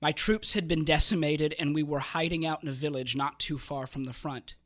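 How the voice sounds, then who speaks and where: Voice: medium pitch, moderate pace, fairly bright tone, close to an everyday voice. An actor as a theatrical, gleefully sadistic mad sorcerer. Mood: grim storytelling. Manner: taunting, theatrical, gleeful cackling. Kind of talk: audio drama acting, storytelling monologue.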